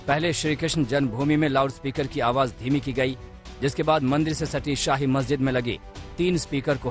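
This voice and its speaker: A man reporting a news story in a steady, formal voice-over. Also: mid-range voice. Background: faint music bed.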